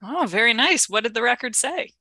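A woman's voice: on the high side, animated, a little fast, bright, forceful tone, dry recording. A woman co-hosting a podcast in playful, lightly scripted banter. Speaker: podcast co-host.

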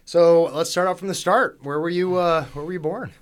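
A man's voice: a little high, fairly even intonation, moderate pace. A man in his forties hosting a podcast interview, upbeat and chatty, joking at his own expense. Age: 40s. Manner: upbeat, chatty, self-deprecating. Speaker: podcast host. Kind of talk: casual interview chat.

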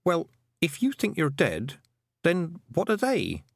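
A man's voice: a little high, huge pitch swings, takes it slowly, creaky, no echo, clean studio sound, pitched above the performer's own voice, exaggerated voice. A man voicing a brave, earnest young girl with a questioning manner in an audiobook. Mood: puzzled.

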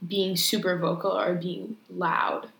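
A woman's voice: fairly low pitch, little pitch movement, gravelly, fairly bright tone. Young woman musing in an echoey room. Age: young adult. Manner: thoughtful, measured, pauses to rephrase. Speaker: podcast co-host. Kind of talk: casual conversational podcast. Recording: echoey room with faint noise.

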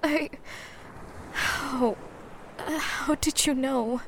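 A woman's voice: high-pitched, steady tone, very slow, slight background noise, exaggerated voice. A woman playing a lovestruck, distraught young mermaid in an audio drama, pleading and stammering with emotion. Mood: tearful, guilty.

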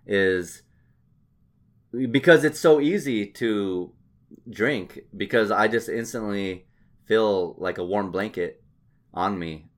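Low-voiced man, halting and reflective. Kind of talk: candid casual conversation.

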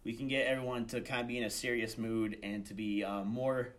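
A young man answers interview questions in a casual, conversational way. His delivery is halting, with phrases begun again and corrected as he goes. He speaks as a student director and voice actor being interviewed. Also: mid-range voice, little pitch movement, fairly bright tone. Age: young adult.

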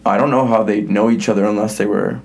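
A man's voice: on the low side, steady tone, fast, creaky, darkish tone. A young man, raised bilingual in English and Spanish, hosting an interview in a chatty way, full of "like" and restarts. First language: English and Spanish both. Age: young adult. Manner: chatty, filler-heavy, with restarts. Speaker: podcast host, college soccer player. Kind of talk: casual interview chat.

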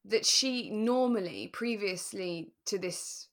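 Woman in relaxed podcast chat. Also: mid-range voice, slow pace. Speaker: podcast co-host. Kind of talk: casual chat reviewing a radio drama.

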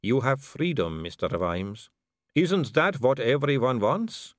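A man voicing a suave, poised aristocratic female vampire, speaking slowly and silkily. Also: mid-range voice, big pitch swings, dry recording, clean studio sound. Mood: silky. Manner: slow, silky, languid delivery.

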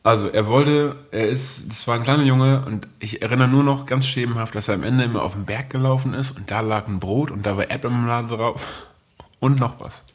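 A man's voice: mid-range voice, brisk. A man co-presenting a podcast in casual, humorous conversation.

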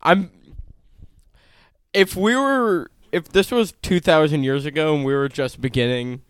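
A twenty-year-old man with a pronounced lisp, chatting casually and swearing freely as a podcast host, in an animated, self-deprecating way. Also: fairly high pitch, unhurried, clean tone, no room sound. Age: 20, turning 21 soon. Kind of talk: casual chat.

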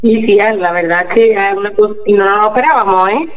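A woman's voice: medium pitch, fairly quick. A woman, chatting in a familiar, casual way as a radio co-host. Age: 30s. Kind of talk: casual chat. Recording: dull radio sound, faint music.

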